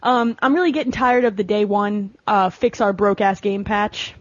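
A woman's voice: medium pitch, steady tone, moderate pace, dry recording. A woman chatting casually and bluntly on a panel, with some mild swearing. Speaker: podcast guest and female gamer. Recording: dull, lo-fi voice recording.

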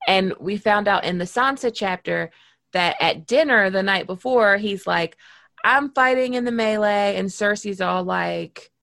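A woman's voice: medium pitch, unhurried, gravelly, no echo. A woman co-hosting a podcast, chatting animatedly and laughing easily. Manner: animated, laughing, reads passages aloud. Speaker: podcast co-host. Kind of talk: casual chat.

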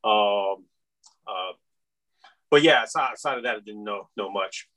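A man's voice: lowish voice, unhurried, fairly bright tone. A man in his fifties from Iran, a podcast guest rambling casually with many fillers. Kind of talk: casual interview chat.

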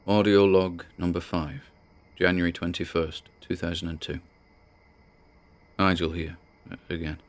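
A man's voice: low voice, level tone. An actor playing a weary, spooked man obsessed with a mystery, rambling and muttering through his audio diary. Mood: spooked, overwhelmed. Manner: rambling, muttering, halting, self-questioning. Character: obsessive, spooked, weary amateur male investigator recording audio logs. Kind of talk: audio diary drama monologue.

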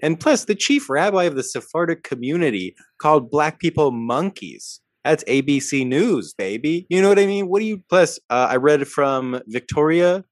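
A young adult man co-hosting a podcast, talking in an animated, emphatic way and often tagging points with "right?". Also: a little high, normal speed, hardly any pauses, no room sound, studio quality. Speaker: podcast co-host. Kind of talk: casual political discussion.

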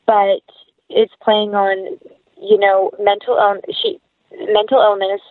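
A woman's voice: medium pitch, little pitch movement, slow pace, no echo, narrow, tinny audio. A woman speaking as an actress being interviewed, chatting casually and animatedly with quoted asides, over a thin remote call.

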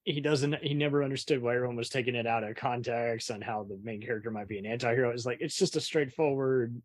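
A woman's voice: very deep voice, fast, no room sound. A woman hosting an interview in a casual, opinionated style, talking about films in a wry, emphatic way. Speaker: interviewer, host. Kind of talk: casual opinionated film chat.

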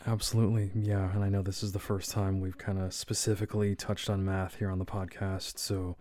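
Low dark-voiced guy hosting a podcast, friendly, dry close sound. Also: flat delivery, a little fast, no echo. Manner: friendly, upbeat interviewer. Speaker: podcast host. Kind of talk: podcast interview.

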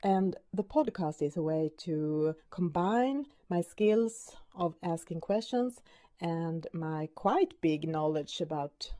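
Swedish lady in her 40s hosting a podcast, english not her first language, lowish mellow voice, hesitant, lots of uh and repeats. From Sweden. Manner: unhurried, with hesitant fillers and repeated words. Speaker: podcast host. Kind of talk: casual personal introduction.

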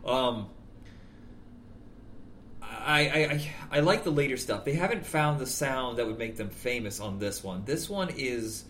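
A man with a nasal, somewhat whiny voice chats casually about music. He speaks at a mid pitch. A faint hiss sits under his voice. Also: animated, unhurried, fairly bright tone. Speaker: music podcast co-host. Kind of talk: casual chat.

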